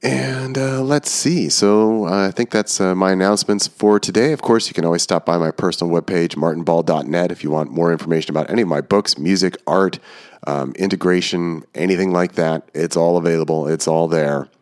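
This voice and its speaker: A man hosting a podcast, congested from a cold, talking in a relaxed, rambling way with fillers. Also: sits low, brisk, dry recording, studio quality. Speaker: podcast host. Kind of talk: casual podcast talk.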